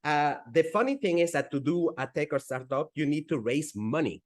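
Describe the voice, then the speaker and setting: A man in his forties with a Spanish accent talks through his story in a halting, conversational way, often restarting a phrase before going on. His voice sits a little higher than most men's. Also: expressive. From Peru. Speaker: guest entrepreneur. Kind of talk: conversational interview.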